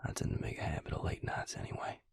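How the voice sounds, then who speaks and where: A man playing a devious vampire posing as a suave dentist in an intimate audio roleplay, talking softly and reassuringly to the listener in a breathy, gravelly half-whisper. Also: dry recording, clean studio sound, lightly acted. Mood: smooth, welcoming. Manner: soft-spoken, slow, reassuring bedside patter. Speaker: dentist character. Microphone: close, dry microphone.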